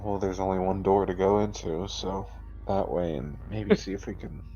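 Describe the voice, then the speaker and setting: A man's voice: deep voice, fairly even intonation, moderate pace, mellow, muffled recording, soft background music. A man playing a tabletop game, chatting casually in character in a hesitant, bemused way.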